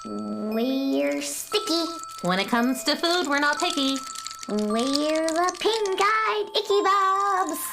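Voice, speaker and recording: A woman's voice: high voice, lively intonation, slow, vocal fry, background noise, exaggerated voice. A woman voicing a small, melodramatic robot pest that loves mess, in a children's radio play with sing-song delivery. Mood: pleading, sing-song. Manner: melodramatic, exclamatory. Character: small pink-eyed icky robot pests, dramatic, mess-loving.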